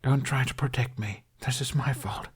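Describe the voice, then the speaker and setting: A man's voice: medium pitch, steady tone, moderate pace, husky, voice pushed up. A man voicing an eager, anxious boy adventurer in an audiobook, speaking with earnest urgency. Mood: desperate. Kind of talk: audiobook character acting.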